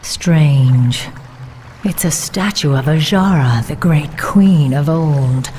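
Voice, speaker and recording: A stern night elf warden from a fantasy game, with a low voice and slow, weighty delivery. She sounds grave and commanding, with faint music underneath. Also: dark tone. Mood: wary, intrigued. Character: stern, solemn female night elf warden, determined hunter. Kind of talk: dramatic fantasy game voice acting.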